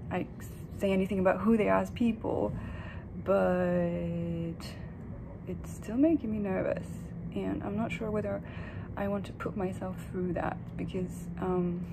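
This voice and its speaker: A young woman talks casually into a phone camera in a hotel room. Her voice is soft, thoughtful and a little hesitant, with a gravelly fry at the ends of phrases. A steady hiss of room noise sits behind her. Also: medium pitch. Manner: soft, reflective, hesitant with fillers. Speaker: vlogger. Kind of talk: casual vlog talk to camera.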